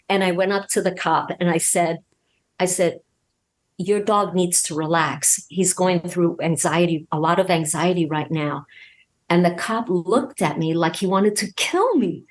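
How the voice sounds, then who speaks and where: A woman talking casually as an interview guest and telling anecdotes, emphatic and halting, often repeating words as she goes. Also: fairly low pitch. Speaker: podcast guest, audio drama creator and voice actor.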